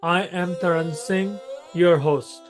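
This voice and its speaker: A man hosting a podcast, who asks questions in a measured way with many fillers. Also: high-pitched, fairly even intonation, soft background music. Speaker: podcast host. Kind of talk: podcast interviewing.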